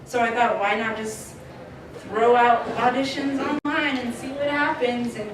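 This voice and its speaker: A woman's voice: on the high side, fairly even intonation, unhurried. A woman hosting an informal convention panel as the creator of a podcast. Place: indoor convention panel room. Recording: echoey, noisy convention room, distant mic.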